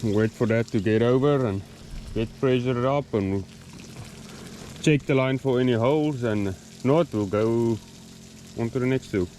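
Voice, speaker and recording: Man narrating farm chores. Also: medium pitch. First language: Afrikaans. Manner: relaxed, halting with many fillers, explaining as he works. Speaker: farmer. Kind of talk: casual farm vlog walkthrough. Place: outdoors on a farm by irrigation pumps. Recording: dull lo-fi sound with loud outdoor noise.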